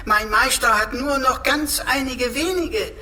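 A man's voice: extremely high pitch, a little slow, pushed and bright, slight background noise, exaggerated voice. A man playing a cheeky talking computer sidekick in a radio play, pompous, wordy and mock-archaic in manner.

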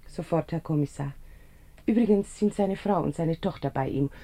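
Anxious, breathy, soft-toned secretary. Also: female voice, low-pitched, moderate pace. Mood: worried, hopeful. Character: devoted, anxious female secretary to a minister. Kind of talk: 1950s German radio drama acting.

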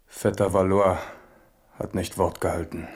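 Deep-voiced, brooding warrior king. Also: level tone, measured pace. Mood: brooding, resolute. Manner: reflective monologue. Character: grave, brooding warrior king in his thirties. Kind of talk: German radio play acting.

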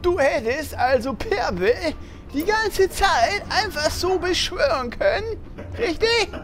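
Woman as shrill, exasperated adventurer. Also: very animated, takes it slowly, over music, exaggerated voice. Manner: exasperated, overdramatic. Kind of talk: parody audio drama acting.

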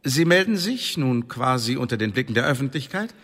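An actor playing a stern, patronising Elizabethan intelligence official, formal and bureaucratic, in a radio drama. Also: male voice, mid-range voice, big pitch swings, a little fast, a bit bright. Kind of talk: German radio drama acting. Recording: long hall reverb.

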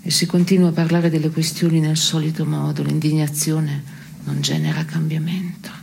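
A creaky-voiced, cynical woman, speaks in terse, deadpan theatre lines. She is dry and pragmatic, with faint noise behind her. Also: low voice, normal speed. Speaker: stage actor and playwright. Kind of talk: theatrical dialogue, absurdist radio theatre.

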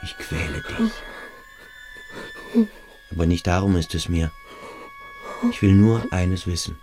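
A man's voice: low-pitched, takes it slowly, mellow, faint music behind. An actor playing a brooding, domineering boarding-school boy in a radio drama, intense, insistent and introspective. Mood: urgent, searching. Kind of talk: German radio drama acting.

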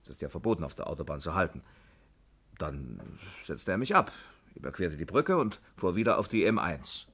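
Low, husky, sheepish witness. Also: male voice, sing-song, moderate pace, fairly bright tone, full character voice. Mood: explaining. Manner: hesitant, apologetic explaining. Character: sheepish, defensive male car company employee under questioning. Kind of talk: 1960s German radio crime drama acting.

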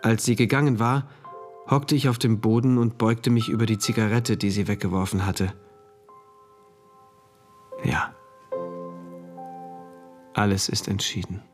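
A male actor playing an introspective, detached diarist, narrating a radio drama in terse, measured phrases. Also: on the low side, fairly even intonation, soft background music, close to an everyday voice. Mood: brooding.

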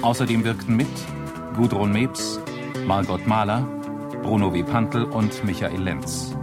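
A man reading drama credits as a radio announcer, measured and formal. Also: fairly low pitch. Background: prominent guitar music.

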